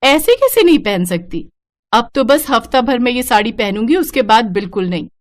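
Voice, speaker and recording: A woman's voice: fairly high pitch, very animated, normal speed. A woman voicing a young, modern, defiant new daughter-in-law in a cartoon story. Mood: indignant. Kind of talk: animated moral-story cartoon dialogue.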